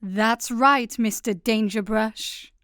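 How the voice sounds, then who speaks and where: Woman as newspaper gal in sci-fi radio comedy, deadpan, brisk, highish voice. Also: dry recording, studio quality. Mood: matter-of-fact. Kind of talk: comic sci-fi radio play acting.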